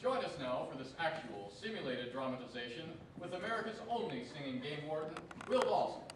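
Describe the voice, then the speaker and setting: A man's voice: fairly high pitch, big pitch swings, a little fast, noisy background. A young man playing a hearty, formal announcer in an old-time radio show performed live on stage. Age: young adult. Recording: very echoey distant stage recording.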